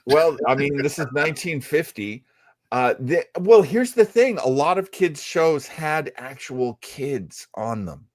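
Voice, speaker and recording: A man's voice: on the high side, very animated, a little slow, no room sound, clean studio sound. A man hosting a show, chatting casually and musing as he explains things at length. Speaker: radio show host. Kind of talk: casual chat about old radio.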